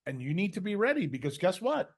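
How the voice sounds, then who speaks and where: Blunt, profane man with higher-pitched voice. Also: sing-song, fast, slightly rough. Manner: confrontational, profane, scolding; uses mocking imitations and rhetorical questions. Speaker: speaker leading a question session. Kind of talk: blunt unscripted lecturing in answer to a question.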